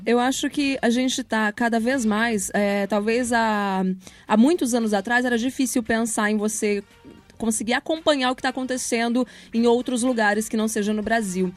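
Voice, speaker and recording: A woman's voice: a little high, steady tone, normal speed, soft background music. A woman, speaking articulately and informally as a guest in a conversational interview. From Paraná, Brazil. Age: 30s. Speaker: interview guest, radio announcer and journalist.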